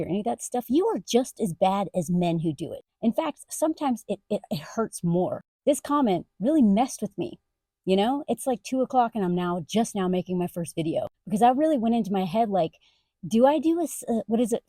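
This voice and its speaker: A woman content creator ranting straight to camera, emphatic, her voice jumping up high on indignant questions. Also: warm tone, dry recording. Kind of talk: direct-to-camera rant.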